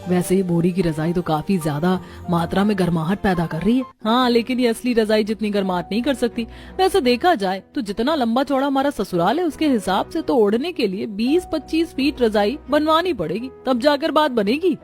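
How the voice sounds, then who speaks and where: A woman's voice: on the high side, normal speed, few pauses, darkish tone. Woman acting cartoon story dialogue, music behind. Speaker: voice of the women of a family.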